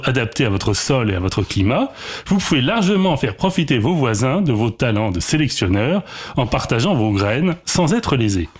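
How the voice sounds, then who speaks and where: A man's voice: mid-range voice, slightly rough. A man delivering a prepared radio column in formal, explanatory phrasing.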